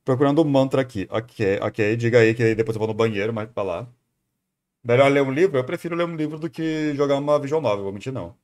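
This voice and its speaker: A man's voice: mid-range voice, fast, darkish tone. A man with a Brazilian accent chatting casually as a streamer, rambling, thinking aloud and repeating himself. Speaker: streamer reacting to a video. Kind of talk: casual live stream chat.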